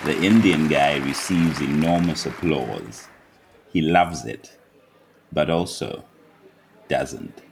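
A man playing a deadpan radio-play narrator, reading stage-direction style narration in a measured way. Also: rumbling low, gravelly, slight background noise, exaggerated voice. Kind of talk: scripted narration. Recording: faint crowd behind the voice.

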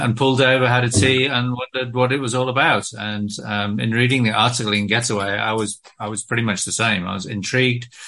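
A man's voice: fairly low pitch, pushed and bright. A middle-aged British man talking as a guest author in an interview, with many ums and restarts. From Britain. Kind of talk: casual interview talk.

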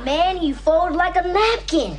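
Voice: extremely high pitch, animated, unhurried. A young-sounding performer playing a grumbling, mortified kid with sardonic sitcom delivery. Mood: embarrassed. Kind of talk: sitcom acting before an audience. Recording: noisy audience behind sitcom dialogue.

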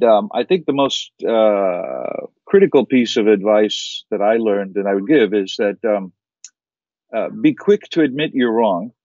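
A middle-aged man with a General American accent, giving measured interview answers with frequent fillers and restarts. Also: lowish voice, a little mellow, dry recording, highs cut off. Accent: General American, Chicago-like. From born in Kuwait, raised mostly in the United States. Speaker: investment firm founder, guest.

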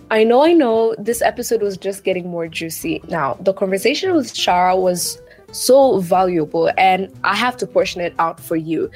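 Breathy young woman hosting a podcast. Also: medium pitch. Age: young adult. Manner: warm, admiring, chatty. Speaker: podcast host. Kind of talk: podcast interview and outro.

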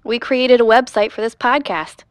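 A woman's voice: fairly high pitch, normal speed, smooth, dry recording, lightly acted. A woman playing an upbeat, persistent young podcast host, chatty and optimistic, in an audio drama.

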